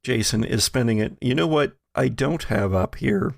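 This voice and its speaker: A man narrating a tabletop game as game master, casual and jovial, with a creaky voice. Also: mid-range voice, normal speed, no room sound, studio quality.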